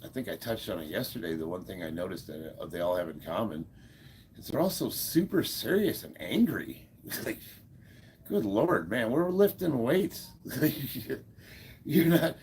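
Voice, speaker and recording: A man in his fifties talks in a very low, gravelly voice that sounds a little stuffed up. He chats easily with viewers from his computer mic at home, chuckling and filling gaps with loose fillers. A faint hum of room noise sits behind him. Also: very animated, a little slow, darkish tone. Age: 50s. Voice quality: deep, gravelly voice. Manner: laid-back, chuckles often, many fillers. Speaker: livestream host. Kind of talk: casual livestream chat, reading viewer comments.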